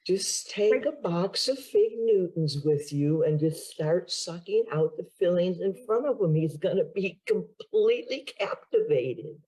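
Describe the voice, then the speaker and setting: Woman playing a slow, husky big sister. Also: lowish voice, expressive, dark tone, poor audio. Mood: coaxing. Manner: reassuring, playful, teasing. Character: older sister giving odd dating advice. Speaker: improviser.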